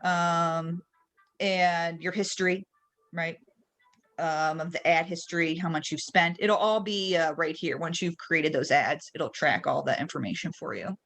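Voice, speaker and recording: A woman's voice: low voice, measured pace, fairly bright tone. A woman training people in an informal, conversational screen-share webinar, explaining each step and posing check-in questions as she goes. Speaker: trainer leading a software webinar. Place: online webinar.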